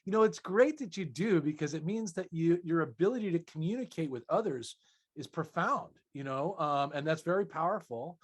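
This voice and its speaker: A man's voice: fairly high pitch, lively intonation, moderate pace, slightly rough. A man hosting a conversational interview in an effusive, affirming, wordy manner. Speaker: interviewer, host.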